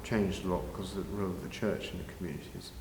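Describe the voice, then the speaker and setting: An older man answering interview questions informally, in a measured, halting way with pauses. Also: sits low, fairly even intonation. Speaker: interviewee, village pantomime organiser. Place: large village hall, indoors. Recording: very echoey hall, faint noise.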